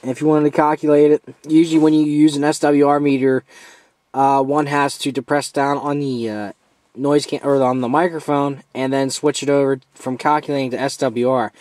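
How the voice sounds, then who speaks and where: Male amateur reviewer, medium pitch, informal hands-on demo delivery, somewhat halting as he walks through features. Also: flat delivery, a little mellow, no echo.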